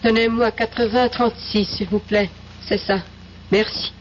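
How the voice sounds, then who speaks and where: An actress playing a cool, persuasive, duplicitous intelligence agent in a radio drama, coaxing and insistent. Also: female voice, fairly high pitch, fairly even intonation, normal speed, lightly acted. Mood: guarded. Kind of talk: 1970s radio drama acting. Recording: dull, noisy old radio recording.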